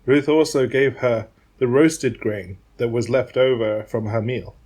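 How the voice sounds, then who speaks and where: A man's voice: medium pitch, unhurried, darkish tone. A man narrating a dramatised Bible reading from a script.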